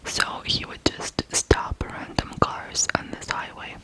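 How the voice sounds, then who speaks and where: An ASMR host from Ukraine telling a true crime story in a hushed, deliberate whisper.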